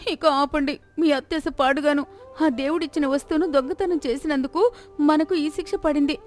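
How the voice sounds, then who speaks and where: Woman acting high-pitched lamenting village wife. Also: fairly even intonation, moderate pace, a little mellow, close to an everyday voice. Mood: scolding, regretful. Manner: dramatic, lamenting. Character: alarmed, scolding village wife of a greedy man.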